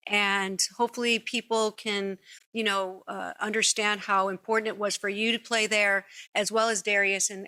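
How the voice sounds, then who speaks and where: Bright-voiced chatty woman host. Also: mid-range voice. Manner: enthusiastic, chatty, rambling. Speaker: podcast host. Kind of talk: casual interview. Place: living room with sofa. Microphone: studio mic on boom arm.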